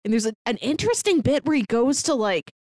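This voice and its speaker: A woman co-hosting a podcast, chatting casually and retelling stories in an animated way. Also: a little high, brisk, dry recording, clean studio sound. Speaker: podcast co-host. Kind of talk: casual book discussion.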